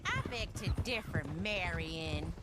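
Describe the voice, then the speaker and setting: A woman's voice: fairly low pitch, big pitch swings, slow, very noisy. A woman voicing a feisty, defiant young outlaw with an American accent in a western video game. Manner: feisty, cutting.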